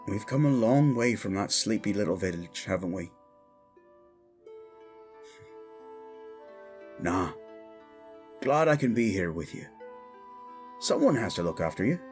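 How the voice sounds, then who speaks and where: A man playing a protective, devoted young commoner guarding royalty in a fantasy audio drama, gruff and intense. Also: on the low side, a little mellow. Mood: tender. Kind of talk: fantasy audio roleplay acting.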